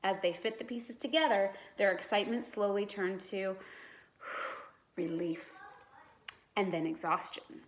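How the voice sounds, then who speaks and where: A woman with a mid-range voice reads a children's story aloud. Her delivery is expressive, with dramatic emphasis, like a teacher narrating to a class. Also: medium pitch, unhurried, long pauses.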